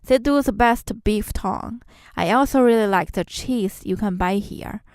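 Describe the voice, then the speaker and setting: A woman's voice: mid-range voice, normal speed, darkish tone, dry recording. Young chinese woman reading her podcast script, earnest, dry close sound. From China. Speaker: podcast host. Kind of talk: scripted narration.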